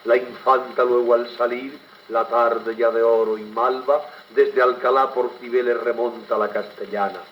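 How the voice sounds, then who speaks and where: A man's voice: medium pitch, flat delivery, moderate pace, few pauses, fairly bright tone. A man with an accent from Spain declaiming poetry expressively in an old theatrical style, on a crackly 78 rpm record. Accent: Spanish, from Spain. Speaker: poetry reciter. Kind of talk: theatrical 1940s-style dramatic poetry recitation.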